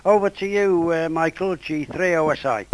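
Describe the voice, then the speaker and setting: An elderly man, his voice going from a cold, speaking hesitantly in a casual amateur radio contact. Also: a little high. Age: 80s or older. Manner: hesitant, halting. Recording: ham radio contact over internet app.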